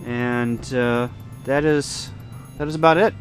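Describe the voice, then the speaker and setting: A man's voice: medium pitch, fairly even intonation, takes it slowly. Man giving a casual walkthrough over music. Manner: informal, explanatory, thinking aloud. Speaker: ham radio hobbyist giving a video tour. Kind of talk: casual unscripted walkthrough of a camp setup. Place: outdoor campsite.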